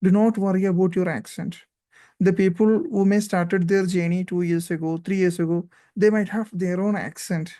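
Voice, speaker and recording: Man, Indian accent, host, casual interview, mellow dark tone, dry close sound, encouraging, many fillers. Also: sits high, steady tone, normal speed, dry recording. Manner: frequent fillers and tag questions, encouraging.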